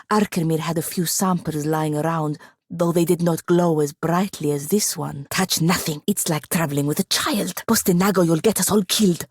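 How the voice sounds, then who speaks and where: A woman's voice: deep voice, no room sound. A woman voicing a sardonic, haughty female wizard in a video game, with a dry, disdainful and articulate delivery. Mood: wary, dry. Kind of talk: video game character dialogue.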